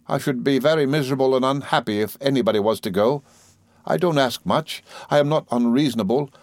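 A man's voice: mid-range voice, normal speed, darkish tone, dry recording, pitched above the performer's own voice, close to an everyday voice. A man voicing a timid, tearful young wife and mother who pleads falteringly in a storybook reading. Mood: tearful, aggrieved. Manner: faltering, pleading, repeating herself.

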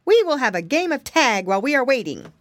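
Woman as a high-pitched, playful storybook monkey. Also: sing-song, fairly quick, no room sound. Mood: cheerful, eager. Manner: bright exclamations, eagerly explaining. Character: playful, knowing male hand organ monkey. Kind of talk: children's storybook character voice.

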